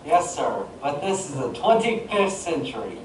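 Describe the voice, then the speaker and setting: A man's voice: on the high side, sing-song, moderate pace. A man playing a dazed, obedient young man whose subconscious mind speaks aloud in an old radio serial. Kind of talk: 1940s radio serial acting. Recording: distant, echoey, noisy room.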